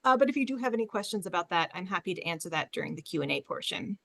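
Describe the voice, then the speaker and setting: A woman's voice: on the low side, expressive, rapid-fire, a bit bright. A woman who is a librarian giving an informal presentation as a panelist on a webinar.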